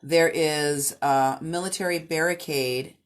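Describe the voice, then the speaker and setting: Female host/interpreter, low voice, slow and halting delivery. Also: fairly even intonation. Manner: halting, with frequent restarts. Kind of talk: live interpretation into English.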